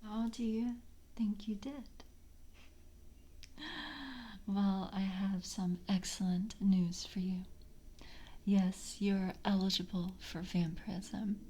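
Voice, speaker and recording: A woman playing a composed, seductive vampire coven member in an ASMR roleplay, speaking in a soft, breathy whisper with slow, formal, intimate delivery. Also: mid-range voice, level tone, a little hiss or hum, lightly acted. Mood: pleased, inviting.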